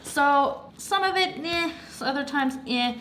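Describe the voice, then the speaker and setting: A woman's voice: sits high, unhurried, a bit bright, a little hiss or hum. A young woman chatting casually about a crafting project at home, slightly congested and rambling with lots of fillers. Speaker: craft vlogger. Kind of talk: casual chat.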